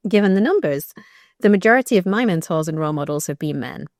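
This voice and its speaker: A woman with a croaky voice reading her own blog post aloud, measured and thoughtful with brief restarts. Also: lowish voice, expressive, dry recording, studio quality. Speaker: researcher narrating her blog.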